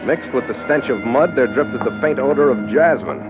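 A man's voice: medium pitch, moderate pace, lightly acted. An actor playing a tough, terse American sea captain who narrates his own adventure in an old radio drama. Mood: terse, wry. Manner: clipped hardboiled delivery. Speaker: lead actor and first-person narrator. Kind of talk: 1940s-50s radio adventure acting. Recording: tinny old radio recording, music behind.

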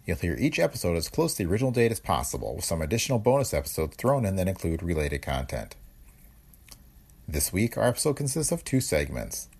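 Man, husky voice, animated intonation, scripted podcast narration, host delivery. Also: deep voice, fast.

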